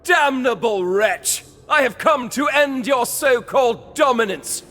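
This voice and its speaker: A haughty, furious holy warrior, shouts in a very high, forceful male voice. His declamations ring out in long reverb with music behind, like a fantasy game cutscene. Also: animated, a little slow, exaggerated voice. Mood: furious, defiant. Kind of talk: video game cutscene acting.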